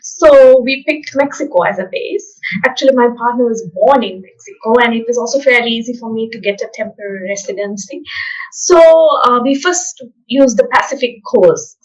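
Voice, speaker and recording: A woman from Sri Lanka, a podcast guest telling her story in a thoughtful, soft-spoken way with fillers. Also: fairly high pitch, normal speed, dull audio. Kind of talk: casual interview chat.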